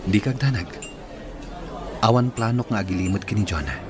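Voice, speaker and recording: Guy acting as troubled young filipino boyfriend, radio drama, deep voice, chatter and glasses clinking. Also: lively intonation, a little fast, noisy background, natural voice. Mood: earnest, troubled.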